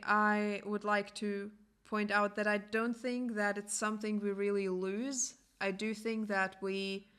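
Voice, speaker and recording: A young adult woman whose first language is German, hosting a podcast and speaking in a reflective personal monologue. She pauses often and searches for words. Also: mid-range voice, a bit bright, smooth. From Latvia. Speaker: podcast host.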